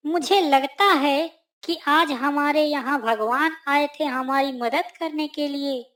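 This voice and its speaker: A woman's voice: high-pitched, slow pace, fairly bright tone. A woman voicing a devout village mother in a cartoon moral story, earnest and emphatic. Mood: amazed, grateful. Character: devout village mother, grateful, chiding her son fondly.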